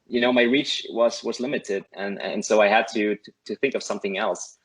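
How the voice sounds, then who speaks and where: A man's voice: lowish voice, fairly even intonation, normal speed, husky, poor audio. A man from Germany with a German accent, a non-native English speaker, earnestly sharing tips as an interview guest and often tagging "right?" onto his points. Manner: earnest, repeats words and adds "right?" often. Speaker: guest, course student. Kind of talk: casual interview over a call.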